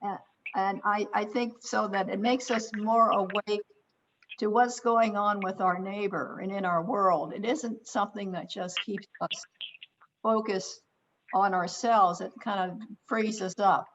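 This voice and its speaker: A woman at middle pitch leads a group discussion in a friendly way, sometimes pausing to search for words. Her voice comes through a muffled, lo-fi video call line. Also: steady tone, noisy background. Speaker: discussion leader. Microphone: computer mic on a video call. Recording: dull lo-fi video call audio.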